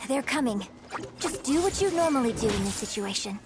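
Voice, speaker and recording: A woman playing a poised young opera performer in a video game, speaking as a patient, articulate acting mentor in measured phrases. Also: on the high side, lively intonation, music in the background, close to an everyday voice. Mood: patient, instructive.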